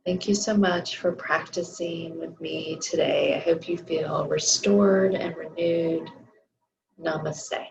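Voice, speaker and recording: Yoga teacher, woman, low-ish gravelly voice, losing it; slow soothing guidance. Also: steady tone, darkish tone. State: losing her voice. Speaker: yoga instructor. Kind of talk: guided restorative yoga class. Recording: slightly dull lo-fi audio.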